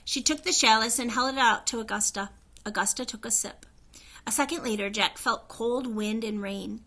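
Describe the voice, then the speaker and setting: A woman reading a children's story aloud, in an expressive narrating style. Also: a little high, moderate pace. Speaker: storybook reader.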